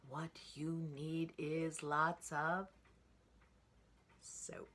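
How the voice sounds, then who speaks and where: A woman's voice: low voice, little pitch movement, very slow, fairly bright tone, full character voice. A woman voicing a silly, bossy young brother pig from a storybook, emphatic and sing-song.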